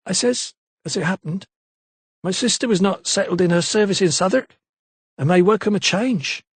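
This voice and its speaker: A man's voice: sits high, moderate pace, husky, dry recording, voice pushed up. A man voicing a timid, deferential young female maid with rustic, uneducated speech. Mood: timid, earnest. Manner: hesitant, deferential.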